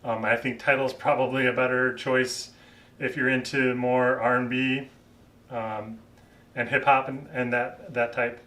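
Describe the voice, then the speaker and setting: A man talks casually and off the cuff like a hobbyist vlogger reviewing equipment. His voice sits at a middling pitch, and he feels his way through sentences as he thinks aloud. The sound is distinctly roomy, with the microphone some distance from him. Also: flat delivery, measured pace, bright, forceful tone. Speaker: vlogger reviewing vintage hi-fi gear. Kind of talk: casual unscripted product talk.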